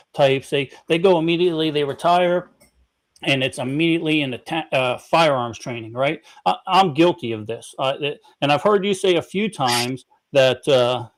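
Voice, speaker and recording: Rambling podcast host, fairly high-voiced man. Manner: rambling questions with many restarts. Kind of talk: casual interview talk.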